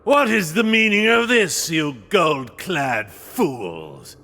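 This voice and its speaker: An actor playing an arrogant undead ghost knight in a fantasy game, with a gravelly voice and grandiose, menacing proclamations. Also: male voice, on the high side, sing-song, takes it slowly, pushed and bright, faint music behind, heavily acted. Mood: indignant fury. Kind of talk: villain acting in a fantasy game cutscene.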